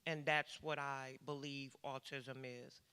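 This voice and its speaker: A woman's voice: extremely low pitch, level tone, slow pace, sharp tone, smooth. A woman giving a heartfelt personal testimony in a church session, speaking in a reflective way. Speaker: congregation member giving testimony. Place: church hall. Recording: reverberant church hall.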